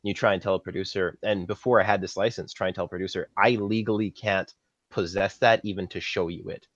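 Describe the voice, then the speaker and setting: A Canadian man talks casually in a fairly low voice, recounting things as an interview guest. The sound is slightly dull and lo-fi. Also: steady tone, fast. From Saskatchewan, Canada. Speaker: guest, film props master. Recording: dull lo-fi audio, slightly roomy.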